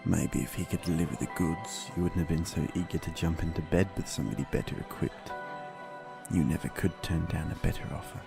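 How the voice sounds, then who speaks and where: A man playing a cruel, smug military officer in an audio drama, taunting and contemptuous, with a gravelly voice. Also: sits low, steady tone, brisk, over music. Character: cruel, smug, sneering male army officer villain.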